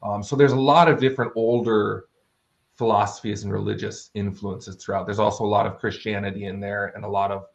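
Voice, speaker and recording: A man's voice: fairly low pitch, flat delivery, brisk, vocal fry. A man speaking as an author being interviewed, explaining his fantasy worldbuilding in an articulate, bookish, conversational way.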